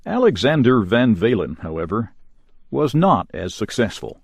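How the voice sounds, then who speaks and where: Male newsreader, animated pitch, measured delivery, dry booth. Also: dry recording. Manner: measured, clearly enunciated broadcast reading. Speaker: news reader. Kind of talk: scripted news narration.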